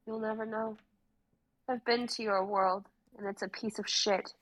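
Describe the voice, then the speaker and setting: Woman acting a bitter, even-toned female foe. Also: mid-range voice, measured pace, close to an everyday voice, rough recording. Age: young adult. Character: bitter, vengeful young woman, former friend turned foe.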